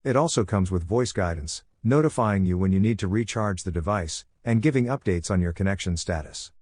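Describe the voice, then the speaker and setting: Man, low pitch, dry sound, scripted review narration. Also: animated, a little fast, a little mellow, no echo. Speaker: product reviewer.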